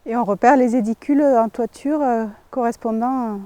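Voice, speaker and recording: A woman with a fairly high but mellow, relaxed voice leads an unscripted visit as interviewer. Her phrasing is tentative and halting, and the sound is dry. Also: dry recording.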